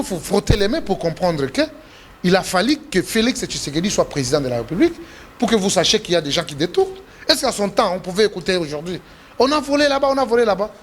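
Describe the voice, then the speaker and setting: A Congolese politician being interviewed, speaking French with a Congolese accent, forcefully repeating and stressing his points, his voice rising when indignant. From Democratic Republic of the Congo. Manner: emphatic, repeats himself, pitch jumps when indignant. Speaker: politician guest.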